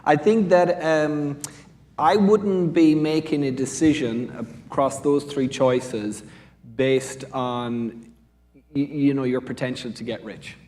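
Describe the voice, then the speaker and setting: A man's voice: medium pitch, fairly even intonation, takes it slowly, a little mellow. A man with an Irish accent answering as a panel speaker from a business school, discursive and often rephrasing mid-sentence.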